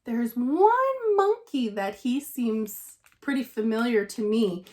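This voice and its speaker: Lady teacher doing a kids read aloud, voice a bit high, super animated. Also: slow pace. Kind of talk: expressive children's storybook read-aloud.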